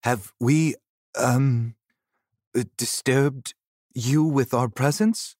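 A man voicing a frightened young man in a story, nervous and stammering, in a shrill voice. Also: fairly even intonation, slow, a little mellow, slightly rough, no echo, clean studio sound. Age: young adult.

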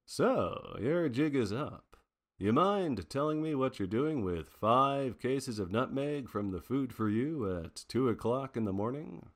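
A man's voice: medium pitch, lively intonation, a little slow, close to an everyday voice. A man playing a wry, unflappable private detective in a 1940s noir radio drama, with a theatrical, wordy manner. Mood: sardonic, teasing. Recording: long reverberant hall sound.

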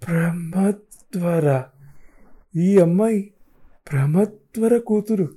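An anxious older father in an audiobook drama, acted out by a male narrator. His questioning is urgent and insistent, pitched up with feeling, in a soft-edged, mellow tone. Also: drawn-out words, breathy edge, full character voice. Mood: shocked. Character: shaken older man, anxious, demanding father questioning a girl. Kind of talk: dramatized audiobook novel dialogue.

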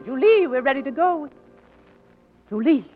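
An actress playing an anxious older Southern aunt in a 1940s radio drama, fretful and pleading. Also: female voice, high-pitched, expressive, unhurried. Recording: tinny 1940s radio broadcast, music behind.

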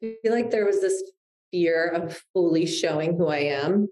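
A woman's voice: fairly low pitch, normal speed, darkish tone, roomy sound. A woman in her thirties, a guest on a podcast interview, talking in a reflective, heartfelt way with frequent restarts. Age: 30s. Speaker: guest, publicist.

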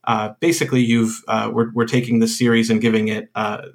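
A man's voice: medium pitch, flat delivery, fairly quick. A man who designs games, a guest on a podcast, explaining his game in a rambling way with many fillers and restarts. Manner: rambling, full of uhs and restarts. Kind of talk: casual interview chat.

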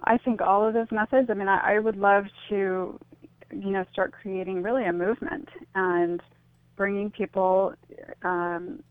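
Over a narrow, phone-like radio connection, a middle-aged American woman talks as an interview guest. Her pitch is medium and her delivery is reflective and halting, with thoughts often restarted. The sound is dry, with no room around it. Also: steady tone, no room sound. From United States. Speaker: interview guest, suicide-loss advocate. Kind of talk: earnest radio interview conversation. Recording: muffled phone-like radio line.

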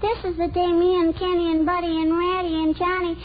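A woman playing an excitable young child neighbor in vintage radio comedy, breathless and eager, on an old broadcast recording. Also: very high-pitched, a little fast, a little hiss or hum. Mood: eager, pleading. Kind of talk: 1940s radio comedy acting. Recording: muffled vintage radio recording, faint music.

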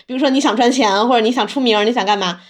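A woman's voice: on the high side, rapid-fire, crowd noise. A woman co-hosting a podcast in casual panel chat, with an informal, teasing way of talking. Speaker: podcast host.

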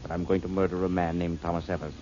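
An actor playing a calm, formal man who calmly announces a planned murder in a 1940s radio drama. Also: low-pitched, fast, close to an everyday voice. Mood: coldly calm. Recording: muffled old radio broadcast, faint hiss.

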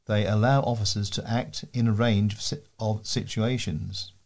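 Low-voiced man reading local news. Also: fairly even intonation, normal speed, creaky, a little mellow. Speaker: volunteer newsreader.

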